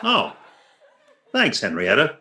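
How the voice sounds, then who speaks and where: A man's voice: mid-range voice, wildly swooping pitch, takes it slowly, echoey room, noisy background, full character voice. A man playing an exasperated, grumbling husband with dry, sarcastic delivery in an old-time radio comedy. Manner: dry, sarcastic, put-upon.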